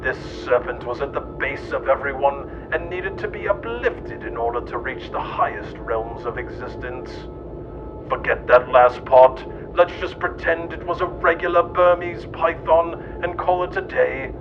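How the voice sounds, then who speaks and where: A man in his thirties giving a scripted, mock-solemn storybook narration in a deadpan, grandiose way. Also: a little slow. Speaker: host reading comic mock-history. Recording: dull, noisy lo-fi track with rumble.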